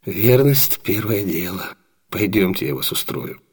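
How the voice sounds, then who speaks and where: An actor playing a wry, obliging innkeeper who is a confidant, in theatrical radio drama style. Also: male voice, low-pitched, lively intonation, a little slow, darkish tone, slightly rough, exaggerated voice. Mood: wry, reassuring.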